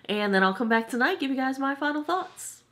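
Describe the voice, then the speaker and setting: A woman's voice: high voice, lively intonation, brisk, pushed and bright. A woman in her forties giving a chatty, self-amused makeup review at her home vanity, her voice slightly off from a cold. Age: 40s. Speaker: beauty vlogger.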